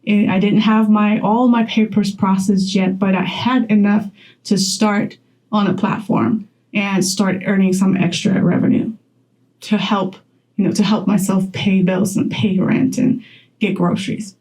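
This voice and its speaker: A woman's voice: mid-range voice, level tone, measured pace, a little mellow, low-quality recording. A young woman from Poland talking straight to camera in a chatty vlog, laughing often as she gives advice. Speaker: content creator.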